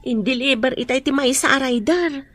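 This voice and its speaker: A woman's voice: high voice, moderate pace, a bit bright, dry recording. A woman playing a caring, playful big sister figure in an Ilocano radio drama. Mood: curious.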